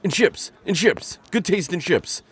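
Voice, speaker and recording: A man's voice: high voice, lively intonation, a little slow, fairly bright tone, slight background noise. A man playing an awkward male insectoid bounty hunter in an ASMR roleplay, talking in a formal, flustered, rambling way. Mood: earnest. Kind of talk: ASMR roleplay audio drama.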